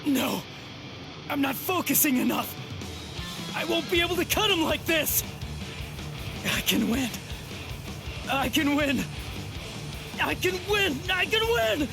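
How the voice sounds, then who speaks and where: A man's voice: very high voice, sing-song, takes it slowly, vocal fry, long pauses, heavily acted. A man playing a hot-headed, anxious but stubborn young fighter in a dramatic anime game, blunt and intense. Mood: desperate resolve. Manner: intense, blunt, self-talking. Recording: loud background music.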